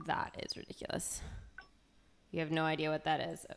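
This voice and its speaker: A woman game streamer giving casual, unhurried commentary, thinking aloud as she plays. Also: deep voice, monotone, vocal fry, a little hiss or hum.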